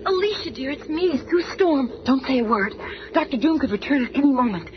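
A woman's voice: high-pitched, lively intonation, a little fast. An actress playing a brave, composed young female superhero in a radio drama, firm and defiant. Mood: hushed, urgent. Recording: dull, noisy old radio recording.

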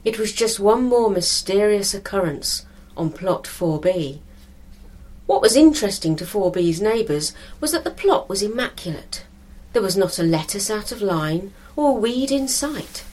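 A woman's voice: medium pitch, animated, unhurried. A British woman narrating a scripted story as a storyteller.

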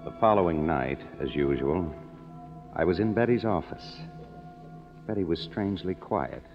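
Cynical young writer: deep, lively, hard-boiled radio drama delivery. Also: male voice, moderate pace. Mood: brooding, wry. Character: cynical, self-reproaching young screenwriter man. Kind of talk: 1950s radio drama, first-person narration and dialogue. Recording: muffled old radio broadcast with music.

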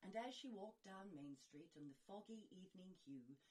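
A woman's voice: mid-range voice, lively intonation, normal speed, a little mellow, breathy edge. A woman co-hosting a podcast, narrating a rhyming comic story in a playful, theatrical way.

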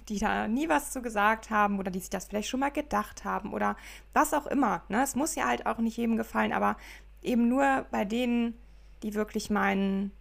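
A woman's voice: medium pitch, vocal fry. A woman co-hosting a podcast, speaking earnestly to listeners in casual chat with a regional German dialect. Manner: earnest, appealing directly to listeners. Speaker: podcast co-host.

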